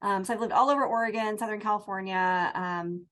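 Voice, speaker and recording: A woman from Tacoma, an international student advisor, speaking on a Q&A panel in a warm, chatty and enthusiastic way. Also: mid-range voice, steady tone, brisk. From Tacoma, USA.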